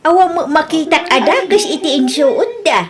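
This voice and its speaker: A woman's voice: high-pitched, animated, moderate pace, a crowd in the background. A woman playing a sceptical villager in a radio comedy drama, questioning and dismissive, with a Filipino accent.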